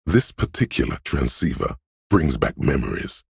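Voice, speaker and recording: Gravelly, abyss-deep brooding game narrator. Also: male voice, very animated, no echo, clean studio sound, full character voice. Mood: measured, dry. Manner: measured, deliberate, literary second-person narration. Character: brooding inner voice narrating a detective's thoughts, dryly wry.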